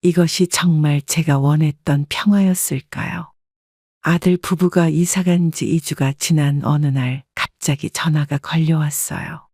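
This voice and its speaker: A woman in her seventies calmly narrating a reflective first-person life story. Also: sits low, measured pace, dry recording, studio quality. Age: 70s. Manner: calm, reflective.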